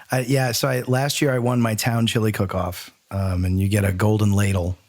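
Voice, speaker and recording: A man's voice: lowish voice, little pitch movement, moderate pace, darkish tone. A man hosting a podcast in casual banter, talking in a wordy, playfully mock-formal way. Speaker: podcast host.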